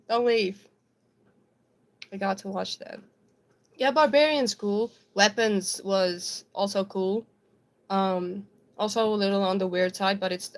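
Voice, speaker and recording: Giggly young woman with an American-sounding accent. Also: medium pitch. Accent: American-sounding English. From South Africa. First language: Afrikaans. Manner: chatty and giggly, with many ums and likes. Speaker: livestreamer. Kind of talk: casual chat reading viewer comments.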